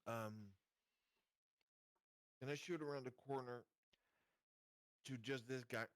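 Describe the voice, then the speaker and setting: A man with a mid-pitched voice talks as a player in a tabletop roleplaying game. He is casual and a little hesitant, working out options aloud in a dry, close recording. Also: fairly even intonation, slow pace, no room sound.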